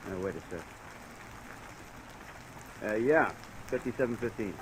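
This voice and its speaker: An actor playing a world-weary American police lieutenant with a casual, clipped manner in a 1950s radio crime drama. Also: male voice, fairly low pitch, animated, measured pace. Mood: offhand. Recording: noisy old radio transcription.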